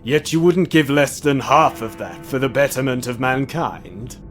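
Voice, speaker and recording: An actor playing a sinister, mocking Victorian gentleman killer, slow and theatrical, with falsely polite menace. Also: medium pitch, natural voice. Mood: menacing. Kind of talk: Victorian stage melodrama acting. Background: music.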